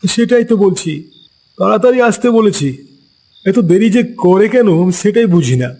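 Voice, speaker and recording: A man voicing a breezy, self-assured retired village man in a brisk manner. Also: high-pitched, expressive, a little mellow, pitched above the performer's own voice. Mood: impatient.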